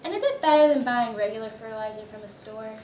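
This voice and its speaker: Really high girly voice doing a mock news interview, far from the mic, roomy echo. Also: expressive, fast talker, dark tone. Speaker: news interviewer in a readers theater skit. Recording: echoey room, distant mic, background noise.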